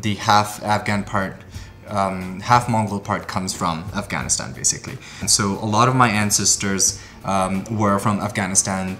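Low-voiced Pakistani man, hesitant delivery. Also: a bit bright. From Quetta, Pakistan. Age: 30s. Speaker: dancer, documentary subject. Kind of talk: personal interview testimony. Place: living room, indoors.

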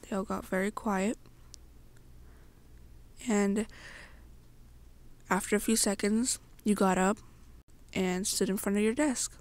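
A young woman narrating an ASMR story to the listener in a halting way, pausing between short phrases. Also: mid-range voice, steady tone, a little mellow. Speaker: amateur roleplay narrator.

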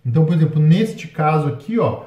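Brazilian man, slightly high voice, chatty board game explainer, roomy lo-fi sound. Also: big pitch swings, brisk, warm tone. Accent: Brazilian Portuguese. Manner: explanatory, frequent fillers and tag questions. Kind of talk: solo gameplay walkthrough. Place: indoors at a table. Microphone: overhead camera, hands only.

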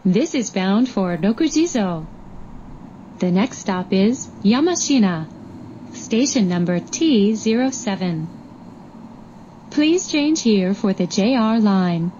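A woman reading formal scripted transit announcements in measured, carefully separated phrases. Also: mid-range voice, lively intonation, warm tone. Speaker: automated train announcer. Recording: dull recording with train noise. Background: subway train ambience.

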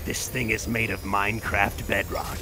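A man's voice: fairly low pitch, level tone, moderate pace, very noisy, natural voice. A man voicing a brash, foul-mouthed, exasperated cyborg ninja in a theatrical, improvised game fan dub. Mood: cocky.

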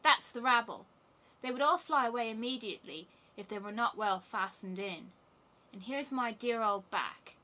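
A woman's voice: fairly high pitch, moderate pace, bright, forceful tone. Woman playing a bossy, wild little robber girl. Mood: boastful. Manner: bold, commanding, emphatic. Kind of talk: storybook character voice.

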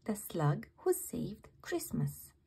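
A woman reading a children's bedtime story aloud in an expressive, dramatic manner. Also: medium pitch, slow pace, gravelly, breathy edge. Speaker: children's book reader.

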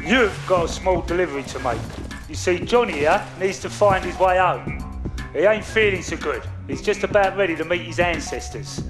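A sadistic London gangster in a crime video game. His voice sits high for a man and bullies and taunts with volatile menace. Music plays clearly behind him. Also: animated, normal speed. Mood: menacing orders. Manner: taunting, bullying, foul-mouthed. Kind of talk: video game cutscene acting.

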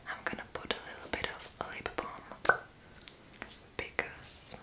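A young adult woman doing an ASMR roleplay in a breathy, unvoiced whisper, with a sassy, playfully deadpan manner. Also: measured pace. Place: small room indoors.

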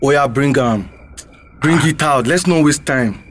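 An actor with a Nigerian Pidgin accent playing a bullying street robber, taunting and commanding, in a radio drama. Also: male voice, mid-range voice, measured pace, slight background noise, close to an everyday voice. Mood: menacing, mocking.

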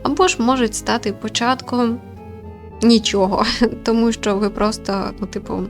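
Woman, slightly high pitch, casual let's-play commentary, chatty and explanatory, faint background music. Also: fairly even intonation, normal speed. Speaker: gaming video host.

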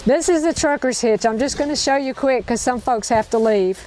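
A woman's voice: high-pitched, brisk, dark tone, a little hiss or hum, low-quality recording. Upbeat woman teaching knots outdoors. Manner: upbeat, repeats each step, checks in with "okay?". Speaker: knot-tying instructor. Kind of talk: hands-on outdoor demonstration. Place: outdoors in a park.

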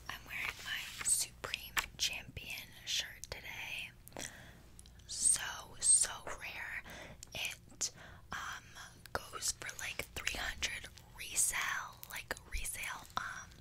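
A woman's voice: slow, pushed and bright, rough recording. A young woman whispering an ASMR roleplay close to the microphone in casual, slangy, playfully boastful speech. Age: young adult. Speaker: ASMR creator. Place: small bedroom indoors. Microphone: desk microphone close to the mouth.

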